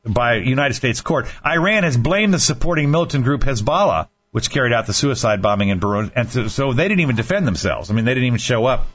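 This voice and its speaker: A man's voice: medium pitch, expressive, fairly bright tone. A man hosting a casual talk show, rambling through news stories with frequent restarts and false starts. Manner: rambling, halting with many restarts. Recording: dull lo-fi sound.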